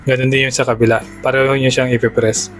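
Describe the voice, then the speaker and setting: A Filipino man talks viewers through a device setup in a casual vlog style. His voice sits at an average male pitch and his delivery is plain and instructional. Faint music plays behind him. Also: flat delivery, quick pace, a bit bright. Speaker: motorcycle vlogger giving a product tutorial. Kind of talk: casual step-by-step how-to narration in a vlog.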